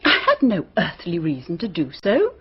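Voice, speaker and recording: Husky, prim English schoolmistress. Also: female voice, fairly low pitch, huge pitch swings, normal speed, dull audio, full character voice. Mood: prim, dismissive. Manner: defensive, clipped, proper. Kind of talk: radio drama acting.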